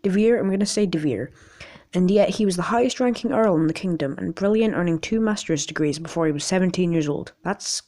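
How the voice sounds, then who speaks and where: A woman with a raspy voice in an amateur, casual video, rambling and reading aloud in a jokey, self-deprecating way with stumbles. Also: lowish voice, fairly quick, mellow. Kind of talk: casual rambling vlog with articles read aloud.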